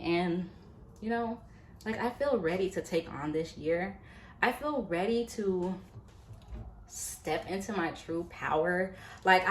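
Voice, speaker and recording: A woman in her late twenties talking to her YouTube viewers in a casual, animated, chatty way, full of fillers. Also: medium pitch, a little hiss or hum. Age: late 20s. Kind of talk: casual motivational vlog. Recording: echoey room, faint ambience.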